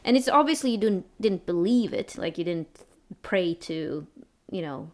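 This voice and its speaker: A woman's voice: lowish voice, animated, moderate pace. A young woman from Sweden running a tabletop role-playing game as game master, chatting casually with frequent laughs and restarts.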